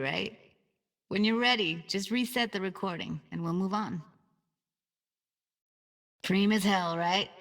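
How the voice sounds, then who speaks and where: A woman's voice: mid-range voice, creaky, fairly bright tone, exaggerated voice. An actress voicing a streetwise young techie woman in a cyberpunk video game, talking in a casual, slangy, wry way. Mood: casual, instructive. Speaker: video game character actor. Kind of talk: naturalistic game voice acting.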